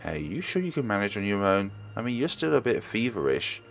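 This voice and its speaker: A man's voice: lowish voice, big pitch swings, fairly quick, gravelly, faint music behind. A man voicing a proud, stubborn young woman in a visual novel, protesting earnestly to her close friend. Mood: worried, chiding. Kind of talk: visual novel dialogue read aloud.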